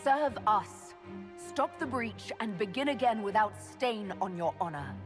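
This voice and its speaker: An actress playing a resolute, diplomatic leader in a fantasy game cutscene, composed, earnest and decisive. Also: female voice, medium pitch, animated, a little slow, lightly acted. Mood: commanding. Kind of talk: video game cutscene acting. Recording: game music behind the voice.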